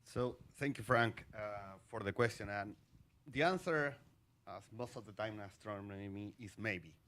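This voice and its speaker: A middle-aged man from Chile, an astronomer on a panel, talking in a halting way with many fillers and restarts. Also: on the low side, fairly bright tone. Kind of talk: conversational panel talk.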